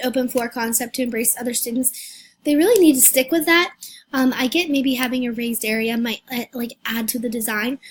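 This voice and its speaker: High-voiced, hoarse young woman presenting. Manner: hesitant, many fillers and restarts. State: hoarse, losing her voice. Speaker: student presenter. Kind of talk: recorded class presentation over pictures.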